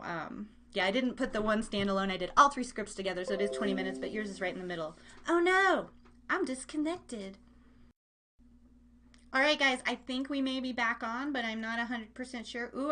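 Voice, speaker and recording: A woman with a fairly high voice hosts a live internet radio show. She talks in a casual, chatty, slangy way, addressing her listeners directly as she runs games and banters with the chat. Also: fairly quick, sharp tone.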